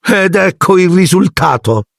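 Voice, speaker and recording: A drunken, bullying aunt with a shrill, soaring voice that swoops wildly as she sneers and jeers. Her tone is gravelly and husky. The sound is dry and close. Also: expressive, unhurried, fairly bright tone, no room sound, exaggerated voice. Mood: scornful.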